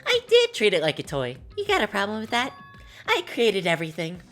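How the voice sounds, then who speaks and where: A woman voicing a gleeful, tyrannical male bear mascot with a very high, squeaky, tight-throated voice and a mocking, overbearing manner. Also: wildly swooping pitch, fairly quick. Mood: smug, mocking. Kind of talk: voice acting a game's dialogue while playing. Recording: faint game music behind.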